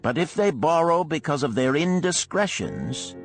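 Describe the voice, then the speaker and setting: A man's voice: on the high side, big pitch swings, moderate pace, creaky, soft background music, pitched above the performer's own voice. A man voicing a shrewd older gold lender in a storybook, speaking in an archaic, emphatic, declamatory way. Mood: wry, cautionary.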